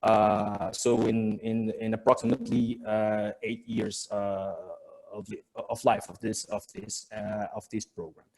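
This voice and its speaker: A man's voice: fairly low pitch, little pitch movement, unhurried, gravelly, darkish tone, low-quality recording. A man with a Portuguese accent giving a business presentation over a web call, hesitant and often repeating words and phrases. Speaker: investment webinar presenter. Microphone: computer on a web call.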